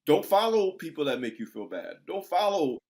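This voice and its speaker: A man's voice: fairly high pitch, very animated, normal speed, a crowd in the background. A man in his sixties hosting an interview, talking in an emphatic, preacher-like way as he encourages his listeners. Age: 60s. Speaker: interview host. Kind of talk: conversational interview.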